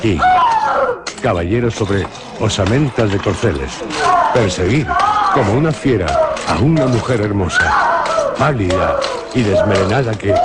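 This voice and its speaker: A man with a Spanish accent from Spain, giving a slow, solemn dramatic reading of a horror legend for radio. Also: lowish voice, very noisy. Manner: slow, measured, solemn storytelling. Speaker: narrator. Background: music.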